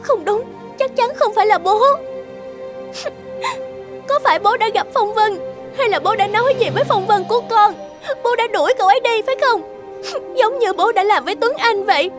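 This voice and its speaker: A woman voicing an emotional, stubborn young woman in a cartoon, expressive and emphatic. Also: very high voice, moderate pace, long pauses, over music. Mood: upset, disbelieving. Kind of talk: animated cartoon dialogue.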